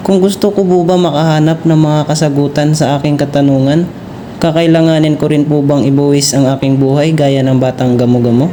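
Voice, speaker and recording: A man's voice: fairly high pitch, flat delivery, a little fast, warm tone, natural voice. A man playing a brave, curious and respectful boy in an amateur Filipino radio drama. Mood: earnest, questioning. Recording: roomy sound with faint noise.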